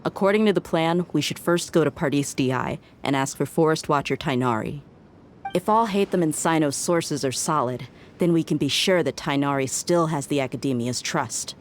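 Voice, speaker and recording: A woman's voice: deep voice, moderate pace, a little hiss or hum, close to an everyday voice. An actress playing a confident, breezy young female mercenary in a video game, with a playful, teasing manner. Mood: composed, businesslike. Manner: playful, smooth teasing, self-assured.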